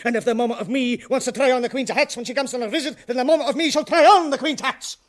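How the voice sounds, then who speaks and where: Swaggering, high-voiced comic gangster boss. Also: male voice, fast talker. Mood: bullying, furious. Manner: mangled English, taunting, theatrical. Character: swaggering, spoiled, menacing gangster boss, a mother's boy. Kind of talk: comic radio play acting.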